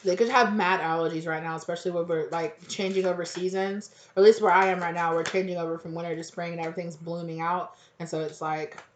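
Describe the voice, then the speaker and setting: A woman giving a casual tarot reading, hoarse and close to losing her voice, rambling in slang and acting out little quoted exchanges. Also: on the low side, steady tone, quick pace, hardly any pauses, a little hiss or hum. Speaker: tarot reader. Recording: echoey, dull lo-fi room recording.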